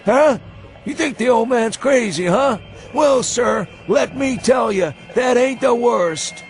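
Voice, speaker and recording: A high-pitched old rural New England man, rambling and insistent as he nurses a dreadful secret. He drags his words out in a folksy drawl, full of dread, in a radio horror tale with faint music behind him. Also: expressive, slow, gravelly, heavily acted. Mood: agitated, badgering. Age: older. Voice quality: shrill when agitated. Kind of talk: dramatised horror reading for radio.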